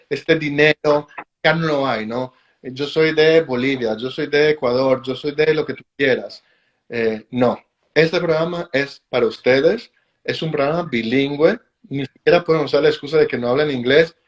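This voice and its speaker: Persuasive man on dull lo-fi call audio. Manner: enthusiastic, persuasive pitch. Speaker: guest. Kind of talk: casual live-stream chat.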